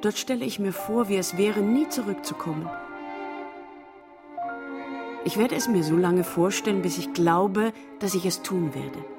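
An actress in a radio drama playing a bitter, proud, long-suffering wife, reproachful and theatrical. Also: female voice, medium pitch, normal speed, natural voice. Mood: weary, defiant. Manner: reproachful, theatrical, emotional monologues. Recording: studio radio play over music.

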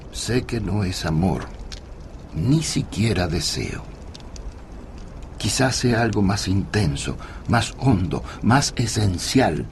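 A man giving reflective scripted narration for a radio drama, measured and pensive. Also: fairly low pitch, darkish tone. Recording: clear background ambience noise.

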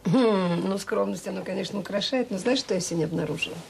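An actress playing a caring, wry, middle-aged mother in an audio drama, talking in a colloquial, gently teasing, frank way. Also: medium pitch, quick pace, creaky, lightly acted. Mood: teasing, fond. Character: caring, wry, tired mother of a grown son. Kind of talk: naturalistic radio drama dialogue. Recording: slightly noisy 1980s audio drama recording.

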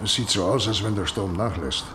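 An actor playing a practical, concerned country doctor in a German radio play, reasoning insistently and urgently. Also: male voice, fairly low pitch, fairly quick, lightly acted. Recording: noisy radio play with loud ambience.

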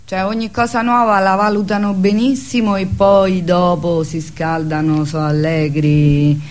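A woman's voice: lowish voice, level tone, measured pace, a little mellow. A woman being interviewed as a theatre artist, talking conversationally and reflectively, searching for her words.

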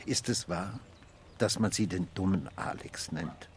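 An actor playing an insistent, condescending police inspector who probes in swings from quiet to loud in a radio drama. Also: male voice, low-pitched, measured pace, a little hiss or hum. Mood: probing, condescending.

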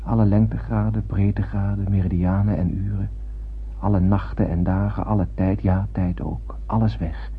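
A man's voice: low voice, flat delivery. Solemn young spaceman, muffled old recording. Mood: solemn, lonely. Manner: solemn, poetic, measured. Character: brooding young male spaceship crewman keeping a log. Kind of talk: literary radio drama narration. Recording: muffled, hissy old radio drama recording.

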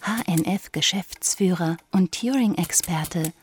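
A woman giving measured, scripted narration for a radio documentary drama. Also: lowish voice.

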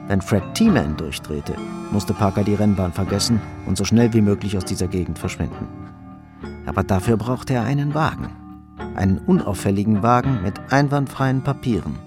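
A man's voice: on the low side, expressive, normal speed, music in the background. A man narrating a crime radio play in a scripted, literary storytelling style.

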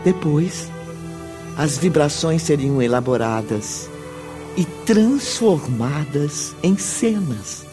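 A man's voice: fairly high pitch, warm tone. A man with a Brazilian accent narrating a solemn spiritist radio drama. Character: solemn male storyteller of a spiritist tale.

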